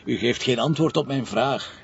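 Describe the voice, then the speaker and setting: An actor playing a shrewd, probing middle-aged police commissioner with a Flemish accent, talking in a dry, insistent way. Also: male voice, normal speed, gravelly, close to an everyday voice. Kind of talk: television crime drama. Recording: dull lo-fi TV audio with faint rumble.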